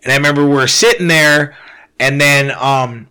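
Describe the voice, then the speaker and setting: A man's voice: a little high, fairly even intonation, moderate pace, sharp tone, clear, resonant tone. A young man telling a story in casual, profane podcast chat, full of slang and fillers. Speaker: guest telling his fight story.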